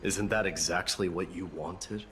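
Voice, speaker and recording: An actor playing a stoic, proud warrior demigod in a video game, speaking formally and resolutely over music. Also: male voice, deep voice, steady tone, unhurried, fairly bright tone. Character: stoic, proud warrior prince demigod, devoted to protecting others. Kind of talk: dramatic video game voice acting.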